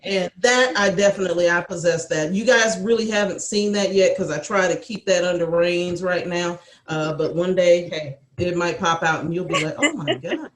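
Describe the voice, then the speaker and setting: A woman chatting casually as a cast member, speaking in a thoughtful, hesitant, measured way on a video call. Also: on the low side. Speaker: cast member and executive assistant. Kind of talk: casual chat. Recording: roomy echo over video call.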